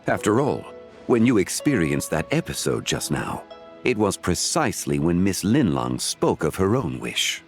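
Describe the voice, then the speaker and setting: An actor playing a composed, learned sage in a video game, speaking in a formal, measured, explanatory manner. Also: male voice, deep voice, big pitch swings, faint music behind.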